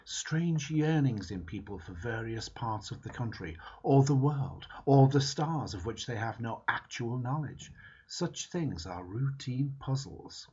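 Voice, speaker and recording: A man reading a book foreword aloud in a slow, emphatic, dramatic way. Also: medium pitch, lively intonation, mellow, husky. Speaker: reader. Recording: dull lo-fi recording.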